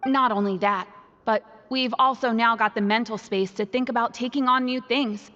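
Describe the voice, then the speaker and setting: A woman's voice: fairly high pitch, steady tone, moderate pace, bright, forceful tone, in a large hall, highs cut off, a little hiss or hum. An actress playing a friendly, content female prisoner in a video game, explaining things in an easygoing way. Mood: content, reassuring. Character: friendly, content female prisoner and club member tidying books. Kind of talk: video game dialogue acting.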